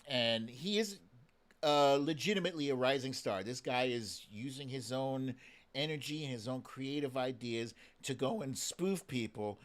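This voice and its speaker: A man's voice: mid-range voice, animated, moderate pace. A man hosting a casual live stream, chatting playfully with exclamations as he addresses his viewers.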